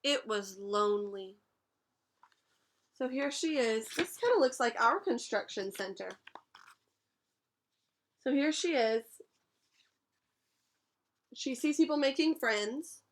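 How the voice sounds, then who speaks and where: A young woman teaching a class, reading a picture book aloud and pausing to explain words and ask questions. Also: on the high side, animated. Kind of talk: read-aloud storytime lesson.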